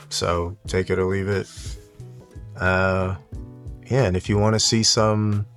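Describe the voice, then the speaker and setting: A man with a deep voice and a crackly fry talks to his viewers in a casual, reflective vlog style. His delivery is monotone and unhurried, never pushing for excitement. Faint music plays behind him. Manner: monotone, unhurried, low-key. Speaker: YouTube watch collector and content creator.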